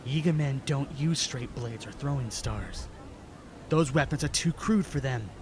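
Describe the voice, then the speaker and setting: Guy acting serious hero swordsman, slow and deliberate, audio drama, pretty noisy recording. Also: medium pitch, lightly acted. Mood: intent realisation. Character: determined young male hero swordsman, serious and observant. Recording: clearly noisy amateur recording.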